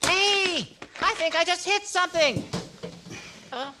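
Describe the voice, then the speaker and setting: A woman's voice: extremely high pitch, slow. A woman playing a grumbling, wisecracking ex-convict treasure digger in a radio comedy sketch. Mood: excited. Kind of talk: 1940s radio comedy acting. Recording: very noisy home microphone with clicks.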